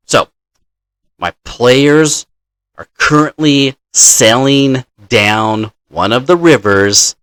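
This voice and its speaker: A man in the role of dungeon master, casually telling a story from his game session in a halting, deliberate manner. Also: medium pitch, a bit bright, no room sound.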